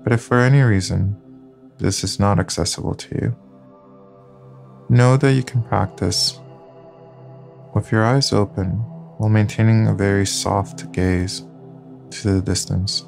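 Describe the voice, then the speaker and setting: A low, gravelly male voice guides a slow meditation, speaking softly and calmly with long pauses between phrases. Faint music plays underneath. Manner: soft, calm, unhurried instructions with long pauses. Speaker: yoga nidra guide.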